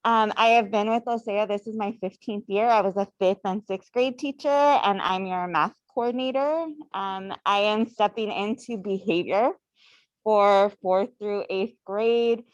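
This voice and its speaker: A woman's voice: medium pitch, a little slow, no room sound. A woman teacher describes her new duties in a plain, matter-of-fact way. The recording is slightly dull and dry. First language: English. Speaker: math coordinator and teacher. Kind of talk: staff introduction.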